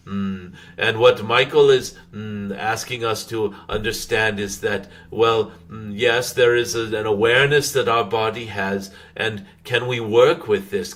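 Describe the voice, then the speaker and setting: Man voicing a gentle dragon spirit, very slow, lowish pitch, measured spiritual guidance, halting with hummed pauses. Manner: halting, measured, frequent humming pauses, formal phrasing. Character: wise, gentle dragon spirit addressing followers as dear ones. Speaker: spiritual channeler. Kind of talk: channeled spiritual guidance, unscripted teaching.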